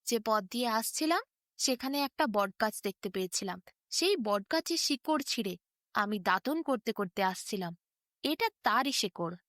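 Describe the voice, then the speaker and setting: A woman's voice: fairly high pitch, expressive, moderate pace, fairly bright tone, no echo, clean studio sound. A woman voicing a proud, boastful male giant of a wrestler in a storybook folk tale, declaiming and eager to fight.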